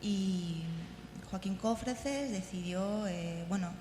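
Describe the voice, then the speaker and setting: Woman, informal presentation, medium pitch, echoey hall, clear humming noise. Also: slow, mellow. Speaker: speaker presenting an audio drama. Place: conference hall.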